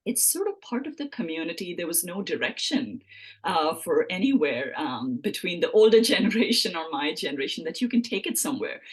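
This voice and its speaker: A woman's voice: fairly low pitch, big pitch swings, fairly quick, slightly rough. A woman from Mumbai with an Indian English accent, a novelist answering interview questions at length, often restarting her phrases with many ums. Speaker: novelist guest.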